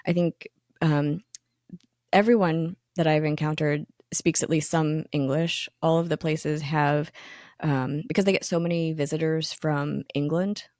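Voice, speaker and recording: A woman's voice: low-pitched, fairly even intonation, measured pace, a little mellow, dry recording, clean studio sound. A woman co-hosting a podcast in a casual, enthusiastic chat, with frequent ums and restarts. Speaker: magazine editor, co-host.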